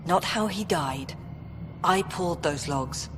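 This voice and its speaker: An actress playing a weary, irritable colony official in a video game, with a curt, sardonic, exasperated manner. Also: female voice, lowish voice, slow. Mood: irritated, weary. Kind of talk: video game dialogue acting. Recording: long echoing reverb, noisy ambience.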